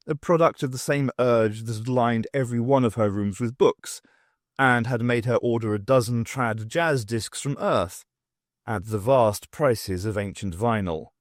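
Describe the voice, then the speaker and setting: A man reading a novel aloud as scripted narration, with an expressive storytelling delivery. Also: mid-range voice, dry recording, studio quality. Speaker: audiobook narrator.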